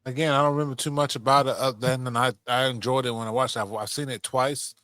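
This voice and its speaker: A man's voice: medium pitch, steady tone, fast, fairly bright tone, no room sound, clean studio sound. A man co-hosting a podcast, chatting casually in an easygoing, agreeable way. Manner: easygoing, brief agreeing replies. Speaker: podcast co-host. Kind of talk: casual chat.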